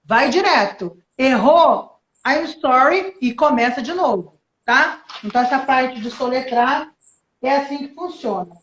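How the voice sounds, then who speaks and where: A Brazilian woman teaches English on a live stream, lively and encouraging as she goes through each step. Her voice sits high, and the room gives it a clear echo. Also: unhurried, a little hiss or hum. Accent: Brazilian Portuguese. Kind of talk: live online English lesson.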